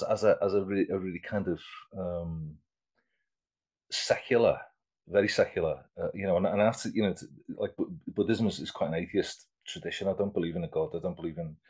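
A man in his fifties speaks in a deep, husky voice. He talks loosely and conversationally, restarting sentences and repeating words while he thinks aloud. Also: a little mellow. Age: 50s. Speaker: artist guest. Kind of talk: casual interview chat.

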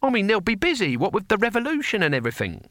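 A man's voice: high-pitched, wildly swooping pitch, fast talker, a bit bright, dry recording, studio quality, pitched above the performer's own voice, heavily acted. A man voicing an elderly, proud and defiant barbarian hero in an audiobook story, with blunt, boastful, colloquial speech. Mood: matter-of-fact.

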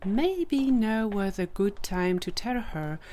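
A woman's voice: mid-range voice, lively intonation, takes it slowly, dark tone, slight background noise. A woman reading scripted story narration for an audio fiction episode.

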